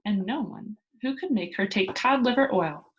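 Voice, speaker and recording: A woman teacher reading a children's book aloud and explaining it to young pupils in an expressive storytelling manner. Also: medium pitch, moderate pace, highs cut off, slight background noise.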